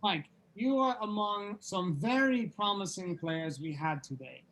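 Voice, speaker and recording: A performer in a comedy table read playing a pompous, grandiose quizmaster of a heavy metal band, with deadpan formality. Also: medium pitch, unhurried, rough recording. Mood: solemn.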